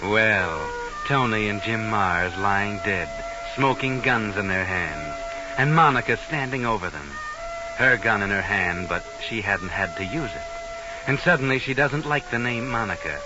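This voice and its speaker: Ominous radio storyteller, deep-leaning voice, sardonic, deliberate, 1940s mystery broadcast, prominent musical backing. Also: male voice, lively intonation, low-quality recording. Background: dramatic music.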